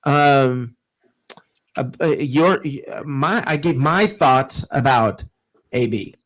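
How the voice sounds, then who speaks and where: Male sports talk host, mid-pitched, informal and blunt, punchy emphasis in loose live chat. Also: lively intonation, slow, a bit bright.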